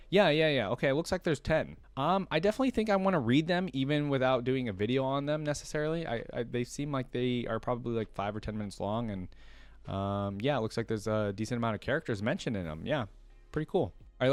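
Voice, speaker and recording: A man hosting a reaction video, reading aloud and commenting informally, thinking out loud and talking straight to viewers. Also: lowish voice, dry recording.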